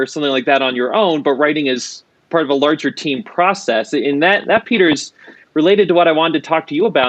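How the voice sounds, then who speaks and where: A man's voice: on the high side, no echo. A man hosting an interview, asking questions in an enthusiastic, conversational way. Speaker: interviewer and podcast host.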